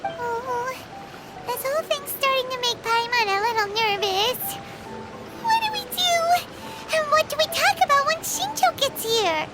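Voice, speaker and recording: Tiny fairy girl, squeaky, bouncy, game music behind. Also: expressive, a little slow. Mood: nervous. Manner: speaks of herself in third person, eager. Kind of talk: video game dialogue.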